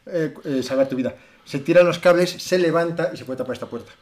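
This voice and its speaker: A man's voice: fairly high pitch, fast talker, a little mellow. A man with a Spanish accent from Spain, co-hosting a podcast in casual, joking, chatty conversation. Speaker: podcast co-host. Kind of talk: casual humorous chat.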